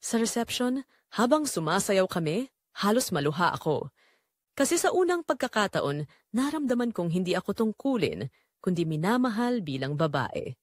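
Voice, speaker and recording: A woman's voice: mid-range voice, big pitch swings, moderate pace, no echo, clean studio sound. A woman with a Filipino accent narrating a scripted first-person drama in an earnest, emotive way.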